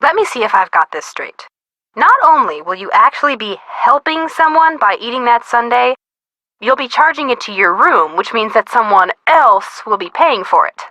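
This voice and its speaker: A woman's voice: a little high, animated, normal speed, sharp tone, no room sound, low-quality recording. An actress playing a bubbly young woman, a detective's teasing best friend in a video game, with casual, playful, slangy delivery. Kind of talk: scripted video game hint dialogue.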